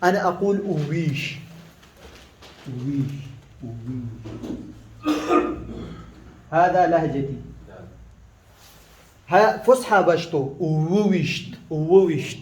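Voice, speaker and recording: A man with a high voice teaches a casual lesson, stopping often with fillers and restarts and going back over each word slowly. His first language is Pashto. The room around him is echoey. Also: lively intonation, a little mellow, a little hiss or hum. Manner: halting, with many fillers and restarts, repeating words slowly.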